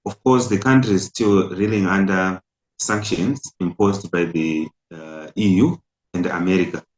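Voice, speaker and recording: A middle-aged man from Zimbabwe, an imam and community leader, answering interview questions with frequent hesitant pauses. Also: sits low. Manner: hesitant, many filler pauses. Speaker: imam and national community president. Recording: roomy echo.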